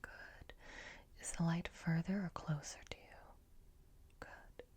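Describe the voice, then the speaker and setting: A woman performs an ASMR roleplay in a low-pitched, breathy whisper. She plays a quietly scheming robot repair technician who speaks directly to the listener. Her delivery is very slow and deliberate, coaxing and secretive, with long pauses between short phrases. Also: steady tone.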